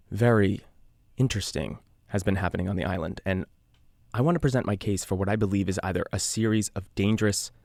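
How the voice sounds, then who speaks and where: A man playing an earnest island radio host, narrating an eerie mystery in a measured, conversational podcast style. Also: on the low side, gravelly, a little mellow, dry recording, clean studio sound. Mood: earnest, uneasy. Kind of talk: scripted audio drama narration, confessional podcast.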